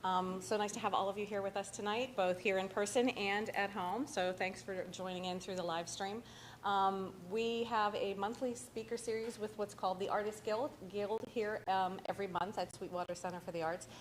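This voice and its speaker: A woman introduces the evening's speaker at an arts center, reading from notes and pausing to paraphrase. Her voice sits at a middle pitch, with a faint hum of room noise behind her. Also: fairly even intonation. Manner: reads from notes, paraphrasing with hesitations. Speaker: host. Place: arts center event, live audience and stream.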